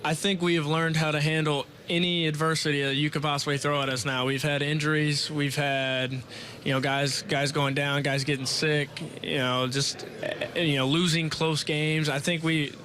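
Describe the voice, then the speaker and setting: Bright-voiced young man, flat delivery. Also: a little high, moderate pace. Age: young adult. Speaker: college basketball player. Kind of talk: media day interview answers. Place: media day event.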